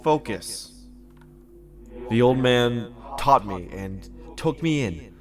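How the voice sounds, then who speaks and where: A man acting an amnesiac, foul-mouthed former magical fabricator in an audio drama, coaxing himself along, swinging between muttered focus and excited outbursts. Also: mid-range voice, slow pace, soft background music. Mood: focused recollection.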